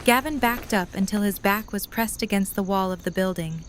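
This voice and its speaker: A woman's voice: mid-range voice, moderate pace, slight background noise. A woman reading scripted story narration as the narrator of an audio drama.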